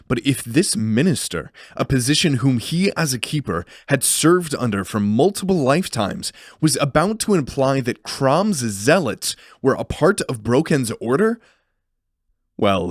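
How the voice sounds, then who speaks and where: A man's voice: medium pitch, dry recording, studio quality. A man reading scripted narration for a fantasy audio drama in a serious, brooding storytelling manner.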